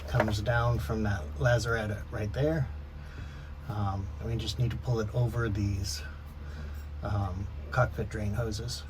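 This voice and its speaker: Guy w lowish voice doing casual diy vlog, explaining stuff plainly, pretty noisy background. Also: fairly even intonation, slow pace. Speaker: boat DIY vlogger. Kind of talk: casual how-to narration to camera. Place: cramped interior of a boat in a yard.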